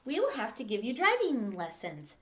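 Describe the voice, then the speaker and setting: Kindly handy neighbor, calm, matter-of-fact, storybook read-aloud, woman reading. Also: a little high, normal speed.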